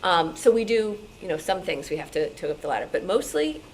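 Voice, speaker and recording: A woman speaking informally and animatedly as a radio programmer answering questions, halting as she thinks aloud, recorded live at a meeting. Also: fairly low pitch, a crowd in the background. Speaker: radio show programmer taking audience questions. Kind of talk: informal talk with audience questions. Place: club meeting room.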